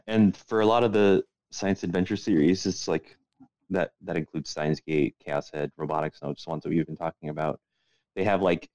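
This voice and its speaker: A man's voice: deep voice, darkish tone, no echo. A man co-hosting a casual podcast in a laid-back, hesitant way, often hedging what he says. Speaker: podcast co-host.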